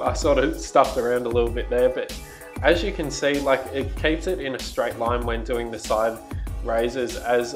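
A man in his twenties with an Australian accent, chatting casually as a fitness vlogger. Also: medium pitch. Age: 20s. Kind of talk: casual vlog chat and workout commentary.

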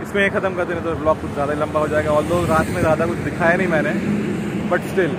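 A man's voice: on the high side, fairly even intonation, fast talker. A man in his thirties from India chatting casually through a travel vlog while driving, mixing in English phrases, filmed on a camera held at arm's length. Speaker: vlogger. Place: inside a car and by a highway outdoors. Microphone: action camera at arm's length. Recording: loud road and engine noise. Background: car and road noise.

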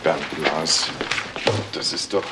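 A man's voice: rumbling low, steady tone, takes it slowly. A man playing a blunt, colloquial police detective in a crime radio drama. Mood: puzzled. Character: blunt, puzzled male junior police detective. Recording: clearly noisy track with clicks.

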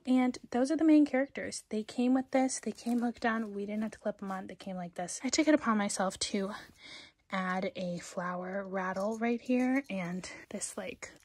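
A woman's voice: fairly high pitch, slight background noise. Young mom vlogger in her bedroom, rambling and casual, keeps dropping to a near-whisper, phone mic. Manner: chatty, rambling, often drops to a hushed voice. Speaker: parent reviewing a baby product. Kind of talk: casual product review. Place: carpeted bedroom at home. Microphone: handheld phone camera.

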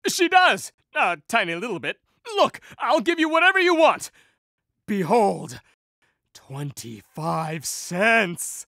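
A man playing a panicky, theatrical young villain with whiny, pleading delivery in an animated series. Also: sits high, takes it slowly, vocal fry, no room sound, clean studio sound, full character voice. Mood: desperate begging.

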